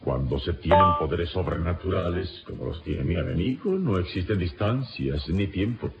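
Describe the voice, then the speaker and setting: Resigned adventurer hero, very low, expressive, measured and formal, radio drama, muffled recording. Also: male voice, vocal fry. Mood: grave. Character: calm, resigned turbaned adventurer hero, confessing under interrogation. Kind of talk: old radio serial acting. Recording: dull, noisy old radio recording.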